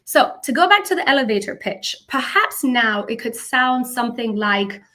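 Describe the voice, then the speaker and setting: A woman's voice: fairly high pitch, lively intonation, moderate pace, pushed and bright. A woman from South Africa giving an upbeat, encouraging lesson to students on personal branding, with emphatic exclamations. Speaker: presenter. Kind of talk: instructional talk to students.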